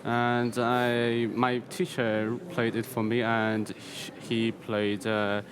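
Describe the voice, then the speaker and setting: An eighteen-year-old man from China, a native Chinese speaker, answering haltingly and searching for words in English. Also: mid-range voice, monotone, noisy background. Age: teens. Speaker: competition pianist guest.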